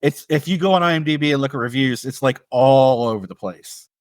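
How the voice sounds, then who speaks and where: A man's voice: mid-range voice, fairly quick, no echo. A man co-hosting a film review podcast, speaking in a casual, conversational style. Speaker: podcast co-host.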